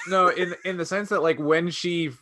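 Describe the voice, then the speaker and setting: A man's voice: high-pitched, fairly even intonation, normal speed, sharp tone, a little hiss or hum. A man co-hosting a podcast, talking casually in a review discussion. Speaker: podcast host.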